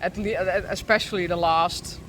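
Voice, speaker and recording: A man's voice: high voice, fairly even intonation, moderate pace. A man chatting casually as an interviewer, in a conversational and agreeable way. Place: outdoors in a city. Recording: noisy outdoor urban street, traffic.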